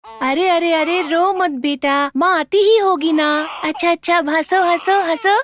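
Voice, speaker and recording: A woman voicing a timid, mistreated little girl in a cartoon, speaking gently and pleading. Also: very high-pitched, a little slow. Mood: soothing. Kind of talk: cartoon voice acting.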